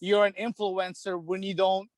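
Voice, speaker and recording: A man's voice: sits high, little pitch movement, normal speed, dry recording. A man from the Philippines, a hockey repair shop owner, chatting casually as a podcast guest, rambling with lots of "dude" and "you know".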